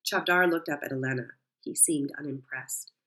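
A woman calmly narrating a first-person story. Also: deep voice, moderate pace.

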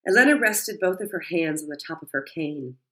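A woman reads a first-person story in a calm, measured way. Her voice is low for a woman and steady. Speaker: narrator. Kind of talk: first-person audiobook narration.

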